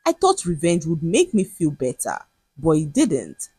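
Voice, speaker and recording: A woman voicing a hurt, stern man in a folktale, calm but firm and measured. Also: low-pitched, breathy edge, no echo, studio quality, full character voice.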